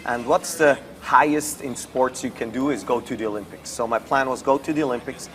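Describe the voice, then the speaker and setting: A man's voice: medium pitch, fairly even intonation, fairly quick, a bit bright, faint music behind. A man with a Swiss accent, an athlete guest telling his story casually in a studio interview. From Switzerland.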